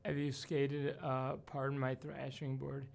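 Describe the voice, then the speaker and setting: A man's voice: completely flat delivery, a little slow, creaky. A man in his late twenties chatting casually on a livestream while he works, rambling and half talking to himself in skater slang. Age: late 20s. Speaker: livestreamer doing DIY studio build. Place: echoey indoor room under renovation. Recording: echoey room, distant mic, faint rumbling noise.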